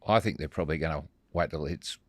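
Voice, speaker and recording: A man with a low-pitched voice talks as a panelist in a casual sports podcast. His delivery is measured and a little hesitant. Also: no echo, clean studio sound.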